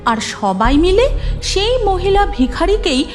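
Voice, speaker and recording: A woman reading scripted cartoon story narration in an expressive, dramatic way. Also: very high voice, a little slow, faint music behind.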